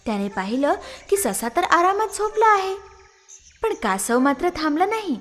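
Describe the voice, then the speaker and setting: A woman narrating scripted moral stories for children as a storyteller. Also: sits high.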